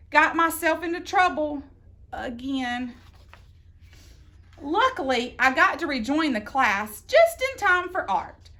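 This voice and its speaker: Animated high-pitched woman reading a storybook. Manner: theatrical, deliberate phrasing with drawn-out emphasis. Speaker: storybook reader. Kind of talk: expressive read-aloud of a children's picture book.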